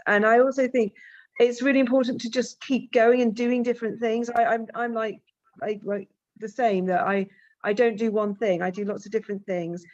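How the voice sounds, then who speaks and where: A woman with a fairly high voice who starts her sentences hesitantly, then speaks openly and with self-deprecating humour. Her voice comes through a video call with dull, lo-fi audio. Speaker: panel participant.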